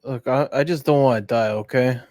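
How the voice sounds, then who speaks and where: A man's voice: medium pitch, fairly quick, darkish tone, dry recording. A man talking casually as a player in a tabletop role-playing game.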